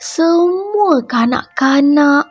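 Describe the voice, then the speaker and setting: A woman reading a bedtime story for children, in a slow, gentle, sing-song way with a breathy voice. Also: high voice, animated, highs cut off, soft background music.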